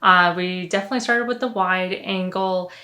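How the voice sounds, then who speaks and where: A woman's voice: fairly low pitch, fairly even intonation, moderate pace, pushed and bright. A young woman hosting a vlog, talking casually about her filmmaking process, with many "you know" and "uh" fillers.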